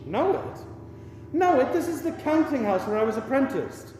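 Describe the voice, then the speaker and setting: A man's voice: very high-pitched, sing-song, moderate pace. A man playing an old miser in a radio play, wistful and remorseful, with an emotional, theatrical delivery that repeats words in agitation. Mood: delighted, nostalgic. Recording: echoey, long reverb with music behind.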